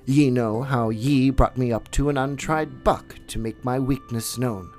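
A man's voice: medium pitch, steady tone, measured pace, a little mellow, close to an everyday voice. A man voicing an old, weary male wolf who leads the pack, speaking with solemn dignity over faint music.

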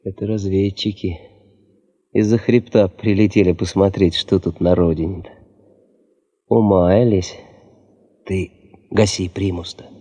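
Seasoned male expedition geologist, gruffly good-natured, plain-spoken, dark relaxed lower voice, Soviet radio play. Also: unhurried, long pauses. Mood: calm, knowing.

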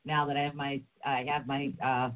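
A woman co-hosting a talk show, a bit sick with a rough, raspy voice, chatty and rambling with many restarts. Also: very deep voice, level tone, normal speed. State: under the weather, rough voice. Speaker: co-host of a paranormal talk show. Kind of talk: casual chat. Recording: phone-like narrow sound.

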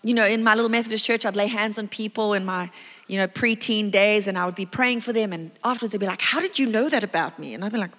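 A South African woman in her thirties preaching a sermon, chatty and animated, with lots of exclamations and asides. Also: mid-range voice, quick pace. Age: 30s. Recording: long reverb of a large hall.

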